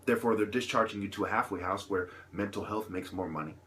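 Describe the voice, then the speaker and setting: A man with a fairly low voice speaks off the cuff to his viewers in an impassioned monologue. He builds his points through emphatic repetition and rhetorical questions, often halting between phrases. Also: a bit bright, a little hiss or hum. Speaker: vlogger delivering an opinion monologue.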